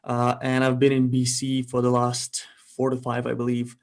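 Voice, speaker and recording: Guest: young man, mid-pitched, unhurried, thoughtful pauses. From Ukraine. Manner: relaxed, thoughtful, frequent "uh" pauses. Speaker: guest entrepreneur. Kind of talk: casual interview storytelling.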